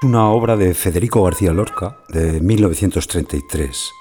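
Deep-voiced man narrating over music. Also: brisk, warm tone. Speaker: narrator and commentator. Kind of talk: scripted literary introduction.

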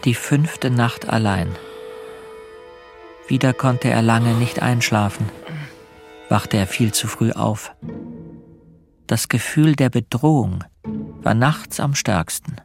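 A man narrates a radio play in a literary, scripted style. His voice is of medium pitch and slips into inner monologue, with faint music underneath. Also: slow pace, vocal fry, pauses often.

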